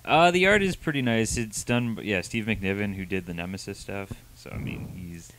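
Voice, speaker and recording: A man's voice: on the low side, moderate pace, fairly bright tone, a little hiss or hum. A young man co-hosting a review panel, bantering in a wry, joking way into a handheld microphone. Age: young adult. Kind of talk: casual banter.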